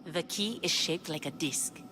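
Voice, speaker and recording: A woman's voice: low-pitched, unhurried, background noise. An actress playing a distraught, lovesick young woman from ancient Greek myth in a video game, speaking earnestly and emotionally. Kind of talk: dramatic video game cutscene acting. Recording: loud background music.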